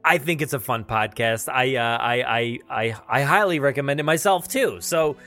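A man hosts a podcast on his own with music behind him. He talks casually in a mid-pitched voice, rambling and halting as he goes. His manner is self-effacing, appreciative and enthusiastic. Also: expressive, sharp tone. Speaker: podcast host. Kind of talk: casual solo podcast talk.

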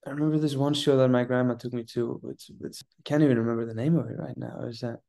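A man's voice: medium pitch, steady tone, fast, gravelly, mellow. A man in his twenties from Iceland, a guest on an interview podcast, speaking thoughtfully with many restarts and repeated words. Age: 20s. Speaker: guest theater artist. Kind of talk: casual interview.

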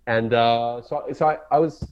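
A man from Israel with an Israeli accent, English not his native tongue, talking casually as a guest and often pausing to search for words. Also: medium pitch, fairly even intonation, a little mellow, clean tone, lo-fi sound. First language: Hebrew. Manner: halting, searching for words, many fillers and restarts. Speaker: ecologist guest. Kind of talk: casual interview talk.

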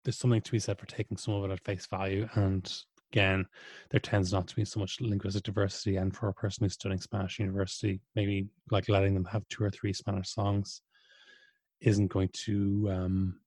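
Low voiced guy who speaks irish, rambly and hesitant, dry close sound. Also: level tone, fairly quick, darkish tone, dry recording. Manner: thoughtful, hesitant, many restarts and fillers. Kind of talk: casual conversation.

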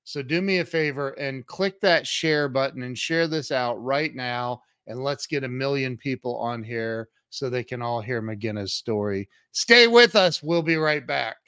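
Slow-paced man hosting a casual interview. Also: mid-range voice, expressive, sharp tone, clear, resonant tone, no echo, clean studio sound. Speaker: talk show host and interviewer.

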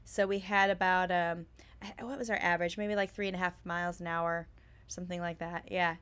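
A woman with a hoarse, raspy voice that is still on the mend, co-hosting a casual true crime chat. She talks in a chatty, animated way as she relays a story. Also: on the low side, a little fast. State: hoarse, recovering voice. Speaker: podcast co-host.

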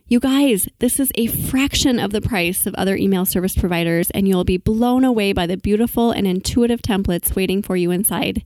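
A woman's voice: mid-range voice, warm tone, no room sound. Bubbly woman podcast host, dry sound. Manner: enthusiastic, bubbly promo voice. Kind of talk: podcast ads and interview.

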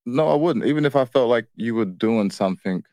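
A man's voice: mid-range voice, lively intonation, a little fast, dark tone, no room sound, clean studio sound. An American man co-hosting a couple's show in casual unscripted talk, firm but easygoing, often repeating how his sentences start. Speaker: co-host of a couple's relationship show.

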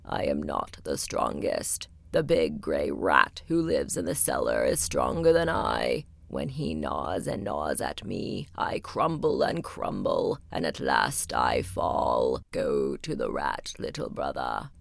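A woman voicing a huge old stone wall with a very deep, slow grumble, in a storybook tale. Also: very animated, vocal fry, no echo, exaggerated voice. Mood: grumbling.

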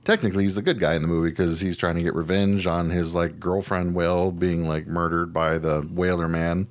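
A middle-aged American man co-hosting a podcast, chatting casually about movies in a slangy, animated, profane way. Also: sits low, brisk. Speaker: podcast co-host.

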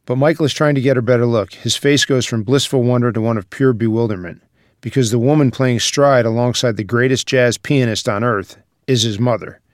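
Guy narrating stage directions from a script, medium pitch, no room echo. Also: steady tone, a little fast, clean studio sound.

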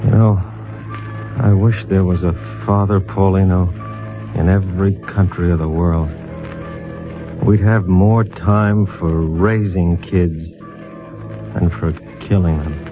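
An actor playing a gruff, sincere police lieutenant in a 1950s radio drama. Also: male voice, low voice, steady tone, takes it slowly, long pauses. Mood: reflective, earnest. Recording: muffled old radio recording with music.

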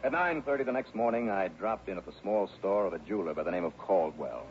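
An actor playing a wry, brisk, self-assured investigator in a 1960s radio detective drama. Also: male voice, sits low, expressive, over loud music. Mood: narrating. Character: wry, self-assured male insurance investigator, hard-boiled sleuth. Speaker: lead actor and narrator of a radio detective series. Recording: muffled, noisy old radio recording.

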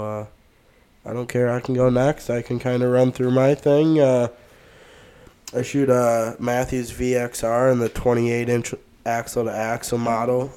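A man with a stuffed-up nose, co-hosting a podcast and talking through his gear in a relaxed, rambling way. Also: mid-range voice, smooth. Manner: relaxed, rambling, many fillers. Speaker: podcast co-host. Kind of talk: casual gear talk.

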